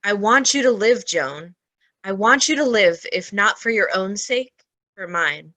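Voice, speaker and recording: A kind female defense lawyer , acted by a woman, with a bright, forceful voice. She is earnest and imploring, in the manner of a radio play. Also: medium pitch, lively intonation, measured pace. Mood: urgent imploring. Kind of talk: old-time radio drama acting.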